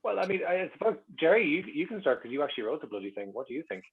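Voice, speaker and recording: A fast-talking Irish man reminisces casually as a panel guest. His voice is husky and rough-edged at a middling pitch. The sound is a little dull and lo-fi. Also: big pitch swings.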